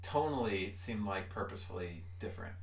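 A man's voice: on the low side, lively intonation, brisk, creaky. A man interviewing musicians in a casual chat, rambling with lots of "like" and "um". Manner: rambling, full of "like" and "um". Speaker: podcast host interviewing musicians. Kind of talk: casual conversation. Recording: roomy, distant mic.

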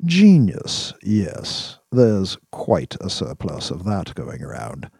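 A man voicing a cool, sardonic male kingpin in an audiobook, speaking in a deliberate, formal, dryly ironic way. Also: deep voice, huge pitch swings, gravelly, dark tone, husky, no room sound, clean studio sound, exaggerated voice. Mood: probing, intense. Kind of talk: audiobook character dialogue.

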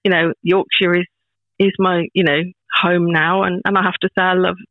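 A woman in her fifties, a proud grandmother, chatting as a podcast guest in a warm, rambling way with many restarts. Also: lowish voice, level tone, normal speed, no echo. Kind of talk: casual chat. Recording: tinny phone-like line, dry.

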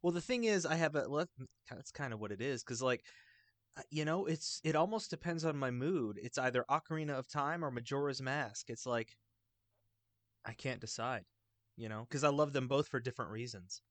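A man chatting casually about video games in a rambling, halting, enthusiastic way. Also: medium pitch, fairly bright tone, no room sound. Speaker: video game podcast panelist.

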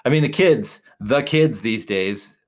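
A man co-hosting a podcast, chatting casually in a relaxed, rambling way with many fillers and restarts. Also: medium pitch, unhurried. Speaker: podcast co-host. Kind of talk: casual chat.

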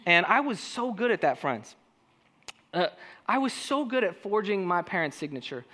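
A man with a high voice preaching a sermon, talking straight to the congregation and asking them one question after another. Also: very animated, normal speed, pushed and bright. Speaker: pastor.

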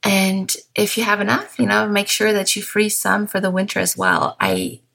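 A woman in her thirties with a German accent, hosting a gentle podcast monologue in a soft, unhurried, mindful way. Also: mid-range voice, lively intonation, creaky, rough recording. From Bavaria, southern Germany. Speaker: podcast host.

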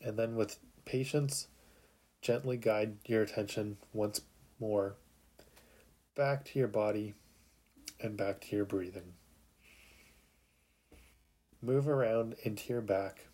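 A man narrating a guided sleep meditation in a calm, soothing, unhurried way with long pauses. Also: on the low side.

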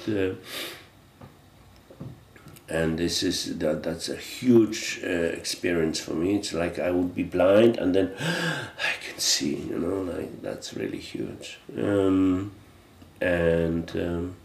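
A middle-aged man from Poland with a Polish accent, chatting as an interview guest in a halting, reflective way with frequent restarts. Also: low voice, animated, creaky, roomy sound, slight background noise. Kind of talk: casual interview chat.

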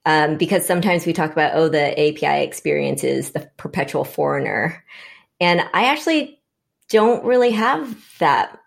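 Low, gravelly-voiced woman on a panel. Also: expressive, moderate pace. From Vietnam. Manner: reflective, thinking aloud with frequent restarts. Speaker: panel guest. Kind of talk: conversational panel discussion.

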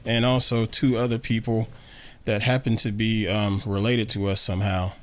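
A man's voice: fairly low pitch, level tone, normal speed, fairly bright tone, faint music behind. A man in his forties hosting a casual family podcast from a small home studio, joking and laid-back. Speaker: podcast host.

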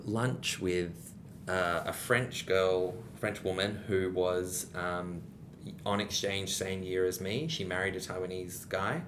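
Deep guy rambling with lots of restarts, rumble behind. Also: a bit bright. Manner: rambling, frequent restarts and fillers. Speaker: guest. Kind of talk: casual interview chat. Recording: rumbling background noise.

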